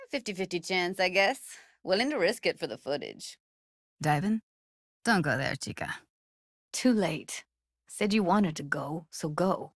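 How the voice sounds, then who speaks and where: An actress playing a tough, sardonic but warm-hearted young woman in a video game, talking in casual, clipped slang. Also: lowish voice, no echo, studio quality. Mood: teasing, dry. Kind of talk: naturalistic video game voice acting.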